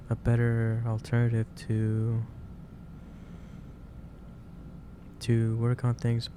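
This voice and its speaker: A man playing a gentle, concerned office boss in a soft-spoken ASMR roleplay, talking in a hesitant, halting and careful way. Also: medium pitch, close to an everyday voice. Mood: earnest, kindly chiding. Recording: clearly noisy ambient background.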